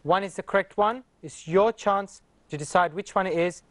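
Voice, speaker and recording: A man's voice: high-pitched, normal speed, a bit bright. A man in his thirties hosting a TV quiz show in a studio, friendly and upbeat, on a lapel mic.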